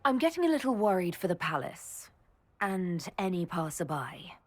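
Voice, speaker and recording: A composed leader in a fantasy video game. Her voice is husky and a touch low. She delivers lines in a measured, dry-witted way. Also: very animated. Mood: wry. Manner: wry, measured.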